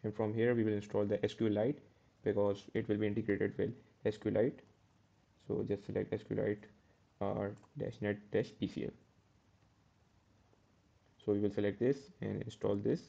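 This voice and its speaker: Man, coding tutor, flat low voice, dark tone, slightly dull recording. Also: normal speed, creaky, long pauses. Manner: step-by-step, self-correcting with "uh" and "okay". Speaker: programming tutor.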